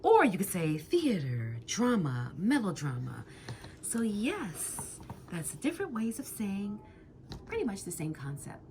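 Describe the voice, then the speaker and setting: A woman's voice: medium pitch, huge pitch swings, a little slow, background noise. A woman teaching a lesson with questions to a student, encouraging and emphatic, drawing out key words.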